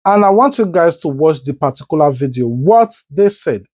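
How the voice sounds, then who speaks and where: A man with a Nigerian accent talks through the news to his viewers in a loose, conversational way, repeating and correcting himself. His voice sits a little high but sounds warm and mellow. The recording is dry and close. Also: lively intonation, moderate pace, no echo. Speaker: online news presenter. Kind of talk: commentary to camera.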